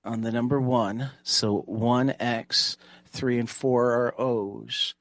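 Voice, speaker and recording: A man talks casually as a player in a tabletop roleplaying game. His pace is on the slow side and halting, with repeated words, in a mid-range voice. The recording is very dry. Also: mid-range voice, fairly even intonation, dry recording.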